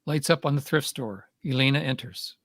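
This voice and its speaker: A man's voice: mid-range voice, moderate pace, fairly bright tone, dry recording. Man reading stage directions, close and dry. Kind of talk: scripted narration.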